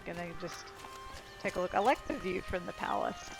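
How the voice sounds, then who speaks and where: A woman's voice: lowish voice, normal speed, vocal fry. Casual woman chatting over game music. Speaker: gaming video creator. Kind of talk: casual gameplay commentary. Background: video game music and sound.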